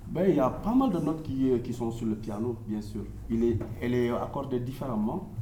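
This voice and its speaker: A man's voice: medium pitch, animated, brisk, warm tone, slight background noise. Man explaining music in a roomy space. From Senegal. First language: Manding. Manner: patient step-by-step explaining, addressing the audience directly. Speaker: musician teaching an audience. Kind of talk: instrument demonstration workshop. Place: room with a live audience. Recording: roomy echo with plucked strings playing.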